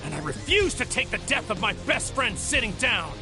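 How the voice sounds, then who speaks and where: A man's voice: extremely high pitch, big pitch swings, normal speed, full character voice. A man playing a proud, righteous young warrior hero in a dramatic anime video game, with intense delivery. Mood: grieving fury. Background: dramatic music.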